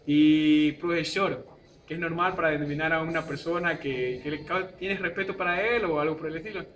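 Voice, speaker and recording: A man with a coastal Ecuadorian accent talks casually about his speech. His voice is bright and slightly high, and he pauses often with fillers. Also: steady tone. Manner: hesitant, with fillers and restarts. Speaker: interviewee. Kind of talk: casual explanation.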